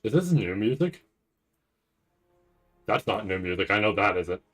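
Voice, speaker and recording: Low-voiced man rambling casually on stream. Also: sing-song, measured pace, a bit bright, soft background music. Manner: thinks aloud in fragments, frequently checking with "right?". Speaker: streamer hosting a reaction stream. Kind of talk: casual, rambling livestream chat.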